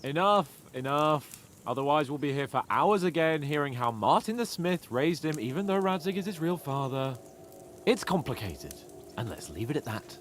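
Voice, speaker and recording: A young medieval lord in a game cutscene, higher-voiced and hugely animated. He orders and chides with teasing exasperation, over faint background ambience. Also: moderate pace, slight background noise. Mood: exasperated. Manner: commanding, exasperated, mock-chiding. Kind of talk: video game cutscene acting.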